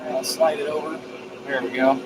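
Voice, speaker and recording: A man's voice: fairly high pitch, fairly even intonation, moderate pace. Enthusiastic man live streaming over background noise. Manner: folksy, informal, mildly profane; enthusiastic, effusive. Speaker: live stream host of a DIY radio channel. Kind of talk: casual live stream chat.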